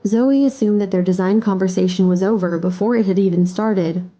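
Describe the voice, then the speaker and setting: A woman's voice: mid-range voice, brisk, warm tone, lo-fi sound. A woman narrating an audio drama in a scripted storytelling style.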